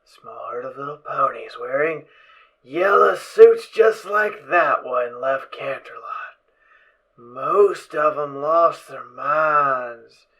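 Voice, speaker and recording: A man playing a distracted, brainy ghoul pony scientist in an amateur audio drama, lecturing in a brisk, absorbed way. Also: medium pitch, pushed and bright, low-quality recording. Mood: grim storytelling.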